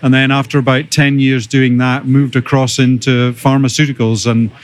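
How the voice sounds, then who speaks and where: A man's voice: mid-range voice, flat delivery, moderate pace. Middle-aged Scottish man being interviewed. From Scotland. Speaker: podcast guest, biotech executive. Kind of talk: conversational interview answers.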